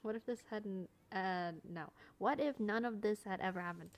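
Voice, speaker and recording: A woman's voice: mid-range voice, normal speed. A woman giving casual, informal reaction commentary in short asides while playing a story game.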